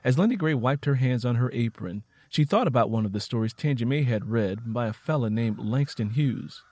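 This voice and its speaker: A man's voice: medium pitch, animated, a little fast, darkish tone, no room sound. A man reading a dramatic story as a scripted audiobook narration.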